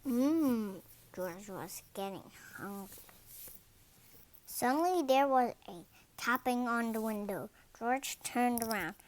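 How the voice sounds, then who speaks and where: A young-sounding reader narrating a children's story aloud, deliberately and word by word. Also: mid-range voice, lively intonation.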